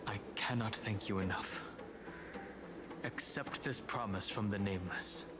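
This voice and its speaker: An actor voicing an earnest, resolute young hero in a dramatic video game, with measured delivery. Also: male voice, on the low side, natural voice. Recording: loud music behind voice.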